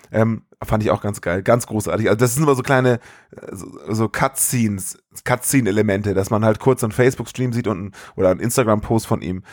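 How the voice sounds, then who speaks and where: A man's voice: lowish voice, darkish tone, no echo, clean studio sound. A man co-hosting a casual film podcast, rambling loosely and enthusiastically in slangy language. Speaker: podcast co-host.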